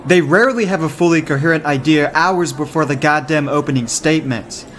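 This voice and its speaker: An actor in an amateur radio drama playing an exasperated but determined young student debater, blunt in manner. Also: male voice, fairly high pitch, fairly quick, a bit bright, lightly acted.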